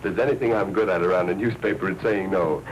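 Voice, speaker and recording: An actor playing a brisk, hard-nosed newspaper editor in a 1940s film, with an American accent and brusque, wry patter. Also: male voice, low-pitched, muffled recording, close to an everyday voice. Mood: firm, curt. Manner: brusque, wry, fast-talking newsroom patter. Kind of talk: 1940s film noir acting. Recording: noisy 1940s film soundtrack.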